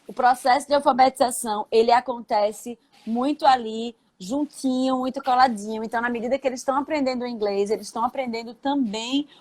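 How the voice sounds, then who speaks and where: Brazilian woman co-host, higher pitch, live-chat educator. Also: steady tone, fairly quick, few pauses, a little mellow. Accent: Brazilian Portuguese. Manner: affirming, checks in with the co-host. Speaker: school educator. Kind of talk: live-stream conversation.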